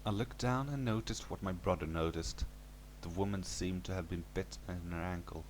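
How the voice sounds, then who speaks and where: A man's voice: deep voice, moderate pace, slight background noise. A man reading a scripted first-person horror story as its narrator.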